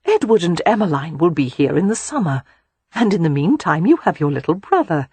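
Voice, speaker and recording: Gentle, husky elder sister, voiced by a woman narrator. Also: fairly low pitch, sing-song, fairly quick, no echo, full character voice. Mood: soothing. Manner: soothing, gently advising. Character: gentle, caring married elder sister and mother. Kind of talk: audiobook character voice.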